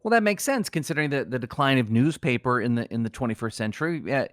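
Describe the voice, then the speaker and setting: A man's voice: mid-range voice, animated, quick pace, fairly bright tone, no room sound, studio quality. A man co-hosting a comedy podcast, moving between banter and mock-solemn reading.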